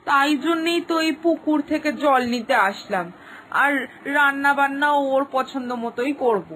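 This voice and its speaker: A woman's voice: high voice, a bit bright, a little hiss or hum, rough recording. A woman voicing an anxious middle-aged village mother, fretting and pleading over her daughter's homecoming in a cartoon story. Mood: eager. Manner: fretful, pleading, slows down when worried. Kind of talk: cartoon voice acting.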